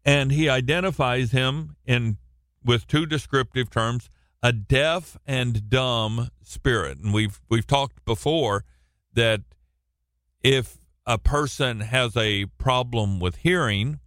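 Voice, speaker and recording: Slow, loud-voiced radio Bible teacher. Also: male voice, mid-range voice, dry recording, clean studio sound. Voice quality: loud, projecting voice. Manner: halting, with frequent restarts and repeated words. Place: radio studio, alone.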